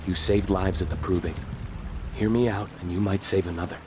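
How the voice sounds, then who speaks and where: Game role: male actor as a wounded middle-aged father, lowish voice, desperate and pleading, over watery ambient noise. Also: steady tone, fairly quick, lightly acted. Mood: pleading, worried. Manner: pleading, strained. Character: injured widowed tribesman, desperate, guilt-ridden father. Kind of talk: game dialogue acting.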